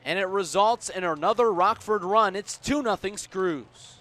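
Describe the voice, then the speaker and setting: A man calling baseball live on the radio, measured between pitches and punchier on plays, as an announcer does. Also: sharp tone. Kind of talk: live radio sports commentary. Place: outdoor baseball ballpark. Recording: outdoor ballpark ambient noise.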